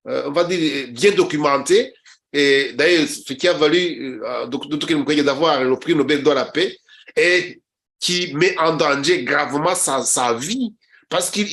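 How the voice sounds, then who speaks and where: A man from Rwanda, middle-aged, hosts a political talk show as a journalist. His voice sits a little higher than most men's. He often halts, repeating words and starting over before pressing on with his commentary. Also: a bit bright. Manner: halting, with frequent repeated words and restarts.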